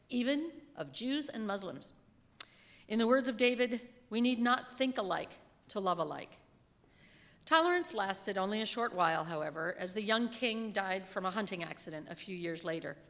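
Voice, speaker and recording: A middle-aged woman reads a written sermon aloud from a pulpit, with measured, emphatic phrasing and dry asides. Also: mid-range voice, fairly bright tone. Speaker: lay reader delivering a written sermon. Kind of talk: sermon read aloud from a script. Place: church pulpit in a large reverberant room. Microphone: gooseneck lectern microphone. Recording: reverberant hall sound.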